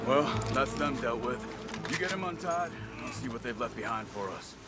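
An actor playing a calm, watchful outlaw gunman in a video game, terse and measured, with an American accent. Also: mid-range voice, loud music behind the voice, close to an everyday voice. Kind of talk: video game character acting.

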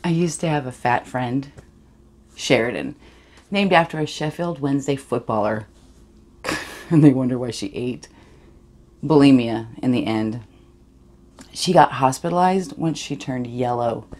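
A woman's voice: a bass voice, measured pace, pauses often, darkish tone. A woman performing a dramatic monologue as a privileged, awkward eighteen-year-old girl, sly and defensive, rambling confessionally. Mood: gossipy, sly. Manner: rambling, confessional, self-justifying.

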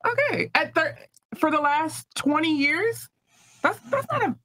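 A woman's voice: sits high, very animated, a bit bright. A woman in her thirties, a podcast guest, arguing her point in a panel debate. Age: 30s. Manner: argumentative, quick. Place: podcast studio.

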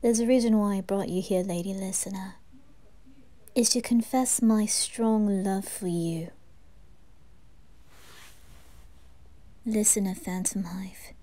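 A woman voicing a wistful, lovesick young gentleman in a halting, often whispered ASMR roleplay monologue. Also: mid-range voice, steady tone, lightly acted. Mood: tender, resigned. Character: wistful, lovesick aristocratic young man, former orphan.

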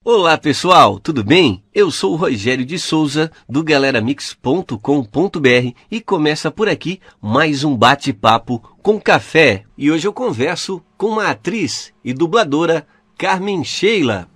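A man's voice: a little high, animated, a little slow, fairly bright tone, breathy edge, dry recording. A Brazilian man hosting an interview, reading out an upbeat introduction and questions. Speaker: interview host.

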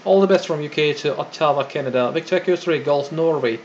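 Male ham radio operator, fairly high voice, procedural and a bit hesitant, faintly noisy recording. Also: quick pace. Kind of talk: ham radio contact exchange. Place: indoors beside a radio set. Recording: dull lo-fi audio with faint noise.

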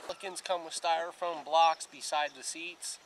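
A man's voice: fairly high pitch, fairly even intonation, moderate pace. A man in his thirties talking casually and plainly, explaining things step by step for an outdoor vlog, recorded outside on a camera a few feet away. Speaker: outdoor vlogger. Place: outdoors, grassy yard and lakeside. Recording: outdoor camera with clear background noise.

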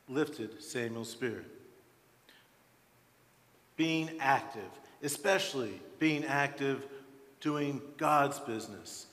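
A man in his sixties preaching a sermon as a pastor, with deliberate, emphatic phrasing and frequent pauses, in a church. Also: medium pitch, fairly bright tone. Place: large church sanctuary, at the pulpit. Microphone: headset microphone. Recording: reverberant church hall.

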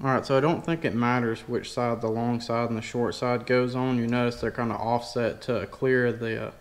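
A man's voice: mid-range voice, little pitch movement, moderate pace. A plainspoken man narrating a hands-on mechanical tutorial in a casual, informal way, as a DIY mechanic in a garage. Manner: plainspoken, informal, folksy wording. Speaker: DIY mechanic demonstrating a tool. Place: indoor garage workshop.

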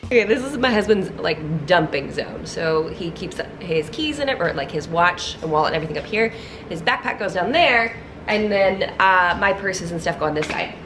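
A woman in her thirties chatting casually as she cleans her home on camera, self-deprecating and quick to laugh. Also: fairly low pitch, animated, music in the background. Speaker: lifestyle vlogger. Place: kitchen and entryway of a house, indoors.

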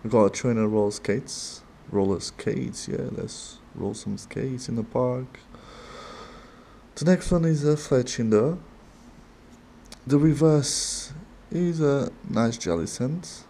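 A man with a non-native English accent talks slowly in a low, mellow voice while he opens card packs at his desk. His speech is halting and chatty, with restarts and little laughs, and a faint room hum sits behind him. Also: creaky. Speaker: card-opening channel host. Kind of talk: casual card-opening commentary.

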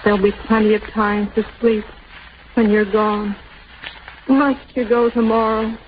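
An actress playing a frail, anxious mother of a young soldier in a tender, tearful, halting 1930s radio style. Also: medium pitch, level tone, natural voice. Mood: anxious, pleading. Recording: noisy, muffled 1930s radio broadcast.

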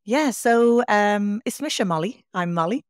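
A woman's voice: mid-range voice, lively intonation, unhurried, clean tone, no room sound, studio quality. A woman in her thirties from Dublin with a Dublin accent, an online Irish teacher talking as a guest over a video call. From Dublin, Ireland. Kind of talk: casual interview.

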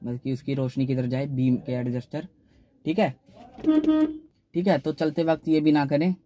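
A man's voice: mid-range voice, little pitch movement, normal speed, dark tone, slight background noise. A young man explains driving tips in a loose, repetitive way, saying "theek hai" again and again, recorded on a phone inside a parked car. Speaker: car channel host. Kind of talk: casual how-to talk in a parked car.

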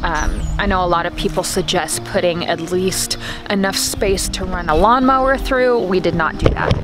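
A woman in her thirties talks to a camera held close to her face while standing in a suburban front yard, explaining things step by step with lots of fillers. Her voice sits on the low side for a woman. Loud background music plays under her words. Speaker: vlogger. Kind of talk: casual explanatory vlog.

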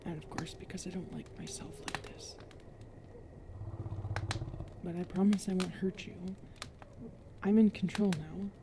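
A woman playing a huge, self-loathing young werewolf girlfriend in an ASMR roleplay, halting and emotional, sometimes dropping to a whisper. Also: on the low side, steady tone, noisy background. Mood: subdued confiding. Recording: cricket and night ambience behind.

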